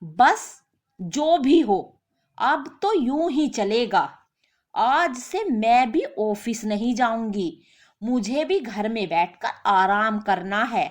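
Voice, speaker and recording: A woman's voice: fairly high pitch, animated, slow. A woman voicing a fed-up working wife in a moral story, reproachful and sarcastic. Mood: firm, fed up.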